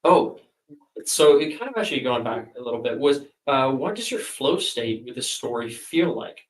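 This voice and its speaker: A man's voice: mid-range voice, expressive, normal speed, echoey room, distant mic, low-quality recording. A man, an author, chatting casually and rambling, with many fillers and restarts. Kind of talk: casual chat.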